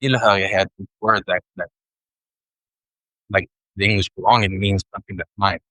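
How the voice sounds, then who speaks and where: Low bright-voiced Swedish man, reflective. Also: steady tone, no room sound, rough recording. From Sweden. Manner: thoughtful, unhurried, with frequent pauses and restarts. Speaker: guest. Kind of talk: reflective interview conversation.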